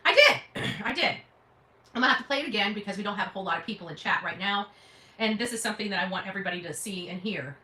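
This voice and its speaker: A woman's voice: mid-range voice, lively intonation, very fast, sharp tone. A woman hosting a casual live stream of political commentary, speaking in an emphatic, opinionated way. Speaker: livestream host commentator. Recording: roomy, echoing sound.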